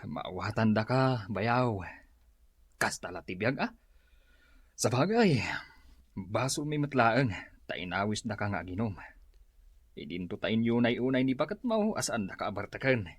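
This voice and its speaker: Chiding, forgiving Ilocano brother-in-law, radio comedy, rough husky tone, lively delivery, dry recording. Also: male voice, fairly low pitch, a little slow, long pauses, dry recording. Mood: forgiving, teasing. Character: aggrieved but forgiving brother-in-law of a drinker.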